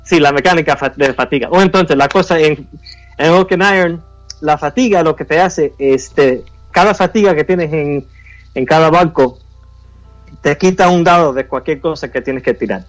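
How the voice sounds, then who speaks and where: A man raised speaking Spanish who now lives mostly in English talks haltingly, pausing often and reaching for words. His voice sits a little above average in pitch. Faint music plays underneath. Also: rough recording. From United States, Cuban family. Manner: halting, searching for Spanish words, many fillers. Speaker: game designer guest. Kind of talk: casual interview chat.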